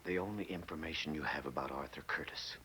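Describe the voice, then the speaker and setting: Firm, deep-voiced, weary agent. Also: male voice, fast. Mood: grave, sympathetic. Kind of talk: 1960 TV drama acting. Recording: hissy old TV soundtrack.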